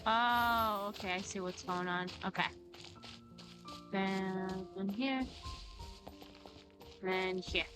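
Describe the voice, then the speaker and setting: Girl streamer chatting casually over game music, playful, mid pitched, repeats little phrases. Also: drawn-out words, pauses often. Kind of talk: casual livestream gameplay commentary.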